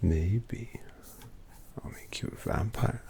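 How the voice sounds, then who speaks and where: A man voicing a languid, possessive male vampire artist in an ASMR roleplay, talking softly and teasingly to the listener. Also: fairly low pitch. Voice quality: soft whispery, gravelly. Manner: slow, teasing, intimate address to listener.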